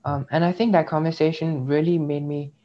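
A man's voice: a little high, level tone, a little fast. A young man from India, raised in the UAE, speaks as an interview guest. He tells his story in a thoughtful, reflective way. The recording sounds slightly dull and lo-fi. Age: young adult. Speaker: interview guest, graduate student. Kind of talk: personal testimony in conversation.

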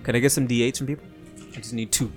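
A man runs a tabletop game in a casual, playful way. His voice sits at a middle pitch, and background music plays under his narration. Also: fairly even intonation, racing, a little mellow. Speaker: game master.

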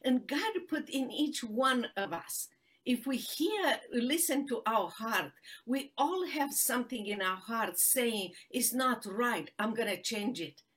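A woman with a Romanian accent tells her story as a guest, speaking slowly and earnestly. She often pauses on fillers as she searches for words. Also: fairly high pitch, animated, a bit bright, husky. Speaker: guest, human rights attorney. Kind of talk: interview storytelling.

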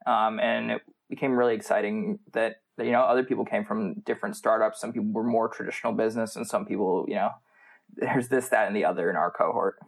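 A man's voice: lowish voice, steady tone, quick pace, creaky, slightly rough. A man with an American accent, a student guest answering interview questions in a casual, conversational style. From United States. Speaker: MBA student, podcast guest.

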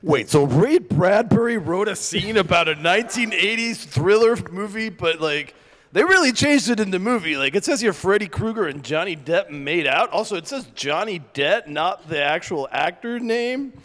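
A man doing improvised radio comedy, reading haltingly and breaking off flustered. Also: sits high, lively intonation, fairly bright tone, long reverb tail.

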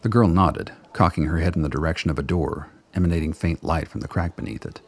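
A man's voice: very deep voice, fast talker, breathy edge. A man reading scripted narration for a radio drama as its narrator.

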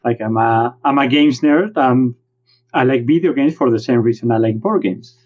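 A man's voice: mid-range voice, normal speed, a little hiss or hum. Spanish-accented man on a dull line. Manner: animated, self-deprecating, frequent restarts. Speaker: board game writer. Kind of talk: casual interview chat. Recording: dull lo-fi remote audio.

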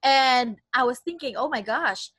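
A woman's voice: high-pitched, expressive, a little slow, bright, forceful tone, no room sound, studio quality. A young adult Filipino woman with a Filipino accent, chatting cheerfully as an interview guest, an attorney. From Philippines. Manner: chatty and cheerful, with light laughs. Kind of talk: casual interview.

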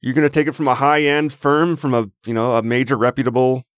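A man's voice: medium pitch, level tone, quick pace, no room sound, clean studio sound. A man co-hosting a podcast in a casual political chat, argumentative and sarcastic as he lays out his reasoning. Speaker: podcast co-host.

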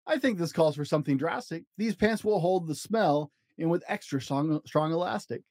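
A man's voice: on the high side, animated, normal speed, a little mellow, dry recording, studio quality. A man voicing a kindly, confident duck doctor in a children's storybook. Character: helpful, reassuring male duck doctor.

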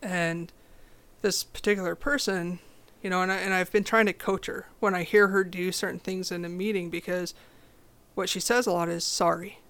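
A young woman with a low voice, talking as a podcast guest in a direct, confident, casual way. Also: normal speed. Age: young adult. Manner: confident, direct, animated storytelling. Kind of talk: casual interview chat.

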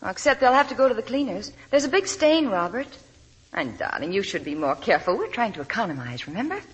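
An actress playing an anxious, devoted young wife in 1940s radio drama, earnest and pleading, on a vintage broadcast recording. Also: female voice, mid-range voice, sing-song, quick pace, breathy edge, dull audio. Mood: tender, fussing.

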